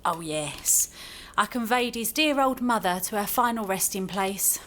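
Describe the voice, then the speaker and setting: A woman's voice: medium pitch, expressive, a little slow, fairly bright tone. A woman playing a breezy, flirtatious village carter in an audio drama, teasing and jaunty.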